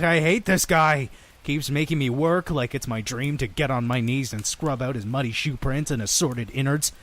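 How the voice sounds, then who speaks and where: A man playing a sardonic, sinister innkeeper who narrates grisly horror tales in an audio drama, with dramatic pauses. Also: medium pitch, lightly acted. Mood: exasperated, wry. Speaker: storytelling narrator in character. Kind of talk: theatrical horror anthology narration, shifting to wry asides.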